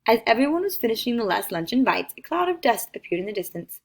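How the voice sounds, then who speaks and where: Animated woman reading a children's story. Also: on the high side, fast. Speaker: read-aloud storyteller. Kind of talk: expressive children's picture book narration.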